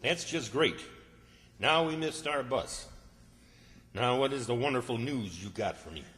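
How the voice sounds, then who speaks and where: An exasperated, hectoring small-town man played by a male actor in folksy old-time radio comedy style. His voice is forceful and animated, ringing in a reverberant hall. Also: mid-range voice, measured pace. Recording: echoey hall with long reverb.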